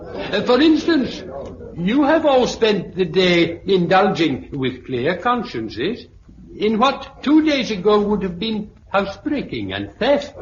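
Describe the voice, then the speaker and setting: An actor playing an elderly British sociology professor, pompous and pedantic, lecturing a meeting in a 1950s radio drama. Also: male voice, high-pitched. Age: older. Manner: pedantic, deliberate, oratorical. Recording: muffled, noisy, echoey 1950s radio recording.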